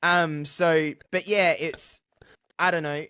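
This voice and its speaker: A man's voice: on the high side, fairly even intonation, slow, pushed and bright, clean tone. Casual, candid Australian man. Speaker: podcast guest. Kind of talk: casual conversational interview.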